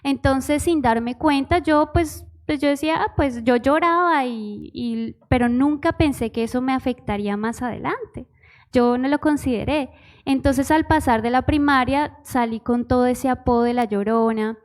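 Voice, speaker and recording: A woman's voice: high voice, normal speed. A woman in her twenties with a Bogotá Spanish accent, giving her personal testimony in church in a shy, giggly, hesitant way. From Bogotá, Colombia. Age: 20s. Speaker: church musician, pastor's wife.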